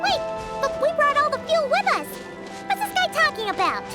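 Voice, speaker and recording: Super high bouncy little fairy sidekick, very chirpy, game music behind. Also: female voice, moderate pace, full character voice. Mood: puzzled, relieved. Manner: chirpy, excitable, talks about herself in third person. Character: small excitable floating fairy companion girl. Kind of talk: game voice acting.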